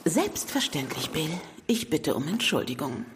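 A woman's voice: deep voice, sing-song, unhurried, noisy background. An actress playing a polite, sceptical female talk-show presenter, with hesitant interjections. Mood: politely sceptical. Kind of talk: audio drama talk-show hosting.

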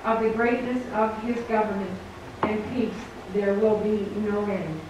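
A middle-aged woman reads a scripture passage in a steady, deliberate voice. The small church gives her voice a heavy echo. The camera is far away, and background noise runs beneath her. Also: medium pitch. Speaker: Advent reader. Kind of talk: scripture reading. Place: small church sanctuary, standing at the front. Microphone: stand mic, far camera.

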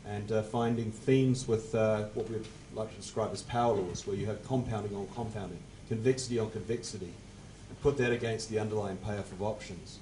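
Man, 40s, panelist, measured, technical, low, mellow, faint room noise. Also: fairly even intonation, poor audio. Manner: measured, technical, trailing off at phrase ends. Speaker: panelist, fund manager. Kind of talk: panel discussion answer. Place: conference room on a panel table. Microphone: table mic on stand.

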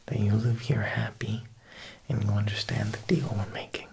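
A young man playing a smooth, menacing Italian mob boss tailor in a whispered ASMR roleplay, coaxing and deliberate with veiled threat. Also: lowish voice, fairly even intonation, darkish tone, heavily acted. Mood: smooth, boastful. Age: young adult. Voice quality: breathy whisper with creaky edges. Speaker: ASMR performer.

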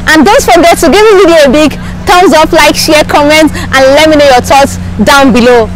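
A young woman from Africa talks brightly to the camera, pushing her channel in a cheerful, repetitive way. Her voice sits high. She is outdoors on a city park lawn, with a crowd and passing cars behind her. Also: fairly quick, rough recording. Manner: upbeat, repetitive channel promotion. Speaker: YouTuber and street interviewer. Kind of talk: vlog intro and street interview.

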